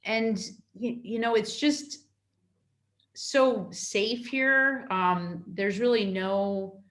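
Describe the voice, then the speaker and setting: A middle-aged woman from New York, a consul answering interview questions in a halting way, with many fillers and restarts. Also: mid-range voice, a bit bright, echoey room. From New York, United States.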